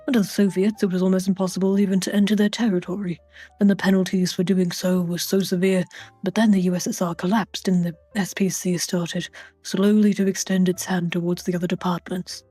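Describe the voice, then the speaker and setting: A woman voicing a grave, brooding monster man in a read-aloud novel, with a deep, strained voice, solemn and halting. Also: dark tone, no echo, close to an everyday voice. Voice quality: deep, throat-strained put-on voice.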